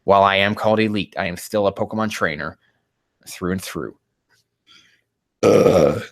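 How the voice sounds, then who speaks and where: A man with a fairly low voice reads fan fiction aloud and reacts to it sarcastically. He is mocking and sweary, prone to exasperation, and the sound is dry and close. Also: moderate pace, dry recording. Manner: profane, mocking, exasperated outbursts. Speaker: host.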